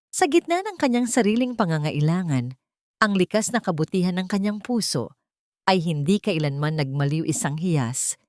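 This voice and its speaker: A woman with a lowish voice narrates dramatic fiction. Her delivery is scripted, measured and literary. The recording is dry, free of room sound. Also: darkish tone, dry recording. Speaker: story narrator.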